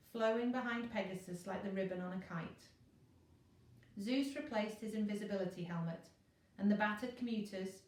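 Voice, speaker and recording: A woman's voice: mid-range voice, darkish tone. A woman narrating a children's novel expressively, as a teacher reading to a class. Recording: very echoey room, distant mic.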